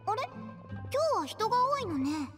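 A woman's voice: very high voice, sing-song, unhurried, heavily acted. A woman playing a friendly, sweet little girl in an anime-style game, with music behind. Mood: friendly, welcoming. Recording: studio voice over loud music. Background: loud game music.

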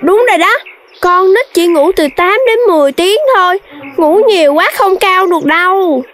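A woman's voice: extremely high pitch, normal speed, a bit bright, a little hiss or hum. A woman voicing a cheeky, playful young animal child in a children's cartoon, with a teasing, childlike delivery.

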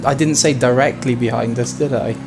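A man's voice: mid-range voice, fairly even intonation, brisk, over loud music. A man joking and laughing in casual voice chat while playing a roleplay game. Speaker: roleplay gamer.

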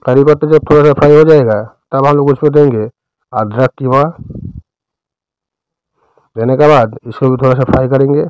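A man with an Indian accent narrating a recipe step by step as a cooking host, in a smooth, clear voice. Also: mid-range voice, fairly even intonation, moderate pace, pauses often, no room sound, lo-fi sound.

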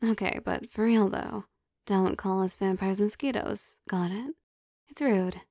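A woman acts out a teasing, possessive vampire girlfriend in an intimate ASMR roleplay. She takes her time, coaxing softly, with a gravelly fry in her voice. The sound is close and very dry. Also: medium pitch, measured pace, dry recording. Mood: playful, possessive. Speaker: voice actor.

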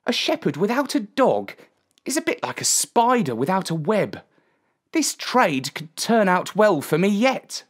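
A woman's voice: medium pitch, very animated, measured pace, slightly rough, pitched above the performer's own voice. A woman voicing a plucky young shepherd boy in a storybook, polite and earnest. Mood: thoughtful.

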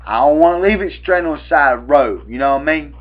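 Young man, Southern US accent, slightly high voice, stammering prank caller, repetitive, dull webcam audio. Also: animated, quick pace. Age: young adult. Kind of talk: improvised prank phone call. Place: small room at home, indoors. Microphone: webcam at arm's length.

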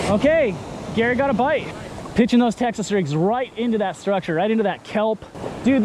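A man with a high-pitched voice hosts a fishing vlog outdoors. He talks casually and with enthusiasm, in slangy short bursts that he often repeats. Surf and outdoor noise are clearly audible around him. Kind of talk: casual running commentary while fishing. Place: outdoors on a rocky ocean beach, wading. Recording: noisy outdoor action-camera audio with surf. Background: waves and surf.

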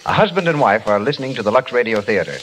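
Fast-talking male 1930s announcer, scripted, animated, crackly broadcast sound. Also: mid-range voice. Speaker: radio announcer. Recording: crackly old radio broadcast.

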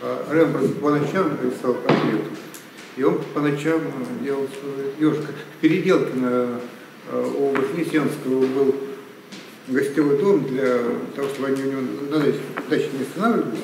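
A man's voice: medium pitch, fairly quick, a little mellow. Older man, very echoey, off mic. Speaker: audience member commenting. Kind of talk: informal spoken anecdote. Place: library meeting room, indoors. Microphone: far camera. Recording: very echoey room, distant mic, clear noise.

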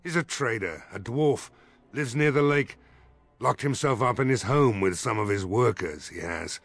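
An actor playing a gruff, weary, skeptical village mayor in a fantasy video game, blunt and grumbling, with a husky voice. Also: male voice, medium pitch, expressive, normal speed, a bit bright. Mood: worried, exasperated. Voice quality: gravelly, husky.

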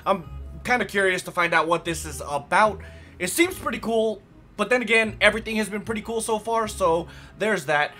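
Hoarse, raspy, chatty gaming host, man. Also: sits high, brisk, sharp tone, faint music behind. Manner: chatty, rambling, lots of fillers. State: hoarse from yelling. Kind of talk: casual let's play commentary.

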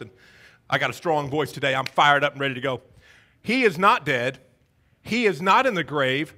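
A man in his thirties with a Southern American accent, preaching an energetic, joking Easter sermon on a church stage. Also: a little high. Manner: energetic, joking, paces the stage with wide gestures. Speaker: pastor. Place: church stage before a congregation.

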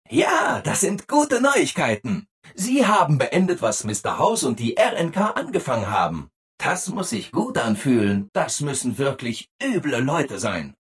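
An actor playing a relentlessly cheerful, eager-to-please robot assistant in a video game, exaggeratedly upbeat and obliging. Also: male voice, mid-range voice, breathy edge. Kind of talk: video game character acting.

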